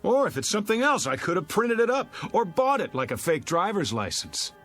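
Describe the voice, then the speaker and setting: A man's voice: high-pitched, sing-song, a little fast, a bit bright, faint music behind. An American actor playing a desperate, agitated fugitive in a television drama, stammering and insisting urgently that he is sane. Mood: agitated, triumphant. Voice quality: stammering, breaking phrases.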